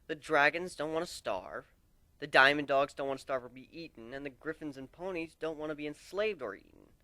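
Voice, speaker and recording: A man's voice: fairly high pitch, lively intonation, brisk, bright, forceful tone, dry recording. A man voicing a brash, frank young griffin warrior in a dramatic story reading. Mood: determined, emphatic.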